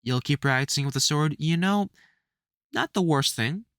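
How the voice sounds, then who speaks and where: A man playing a soft-hearted, protective vampire hunter mentor in an intimate audio roleplay, speaking fondly and hesitantly with wry exasperation. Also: fairly high pitch, expressive, moderate pace, dry recording, studio quality. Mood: touched, fond. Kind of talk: intimate audio roleplay acting addressed to the listener.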